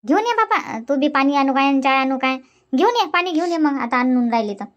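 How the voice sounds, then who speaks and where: A woman's voice: high voice, expressive, a little fast, a bit bright, dull audio. A woman voicing a chatty village woman visiting a neighbour, with a Vidarbha Marathi accent and a gossipy, rambling, complaining way of talking. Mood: gruff.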